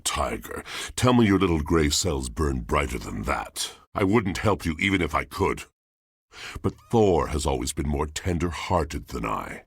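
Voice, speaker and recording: An actor playing a brooding, poetic washing machine in a video game, with a deep gravelly voice and a grandiloquent, melodramatic delivery. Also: male voice, no echo, clean studio sound, heavily acted. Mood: disdainful. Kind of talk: video game character acting.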